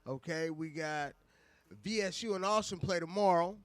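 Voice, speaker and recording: Casting: male American radio co-host, a bit higher pitched, casual and slangy, repeats himself. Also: moderate pace, fairly bright tone, no room sound. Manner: repeats phrases, informal slang. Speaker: radio talk show co-host. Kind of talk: casual live radio talk.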